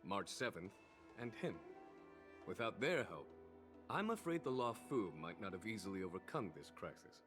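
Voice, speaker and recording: Courteous general, very expressive pitch. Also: male voice, normal speed, over music. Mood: composed, proud. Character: courteous, composed general of a fantasy army. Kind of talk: anime-style game dialogue.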